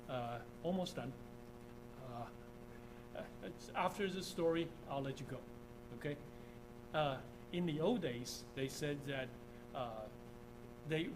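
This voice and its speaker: Man from Taiwan lecturing, raised pitch. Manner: informal, repeats "okay?", many "uh" fillers. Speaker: engineering professor. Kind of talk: classroom lecture over slides. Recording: steady background hum.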